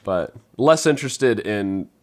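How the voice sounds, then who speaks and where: A man's voice: fairly low pitch, expressive, slow. A man chatting casually as a panelist on a podcast.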